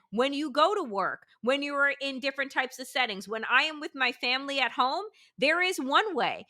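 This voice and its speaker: A woman's voice: sits high, bright, forceful tone. A woman hosting a casual political chat, speaking emphatically and frankly. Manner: emphatic, forthright commentary. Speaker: podcast host.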